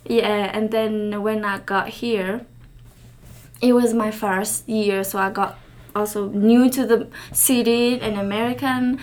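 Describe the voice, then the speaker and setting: A young woman from Thailand, a native Karen speaker, answering interview questions in halting English, often pausing to search for words. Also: mid-range voice, fairly even intonation, a little mellow. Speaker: interview guest.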